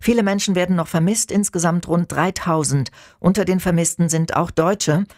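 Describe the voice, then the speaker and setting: A man reading the morning radio news in a measured, formal manner. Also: on the high side, dry recording, studio quality. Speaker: news anchor. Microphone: studio microphone.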